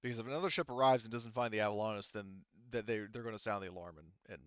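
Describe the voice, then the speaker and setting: Low-voiced man running a tabletop game, dull audio. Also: fairly bright tone, no room sound. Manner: halting, rambling explanations, casual swearing. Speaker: game master. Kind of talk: casual tabletop game narration.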